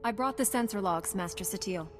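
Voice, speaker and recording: An actress playing a dutiful, wry young Jedi Knight heroine in a video game. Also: female voice, mid-range voice, fast, big-room sound, faint music behind, natural voice. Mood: earnest. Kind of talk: video game cutscene acting.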